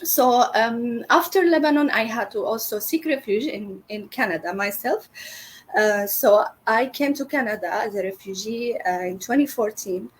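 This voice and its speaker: Syrian-born woman founder chatting in an interview, lots of ums, higher-ish voice. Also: moderate pace, slight background noise. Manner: earnest, many fillers and restarts. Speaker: business founder, guest. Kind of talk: interview, personal story.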